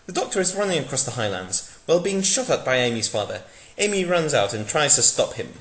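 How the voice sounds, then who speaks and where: A man gives a theatrical, mock-dramatic reading of a fan script. His voice is bright and forceful, with animated pitch, recorded in an echoey room. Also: fairly quick. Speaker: narrator, dramatic reader.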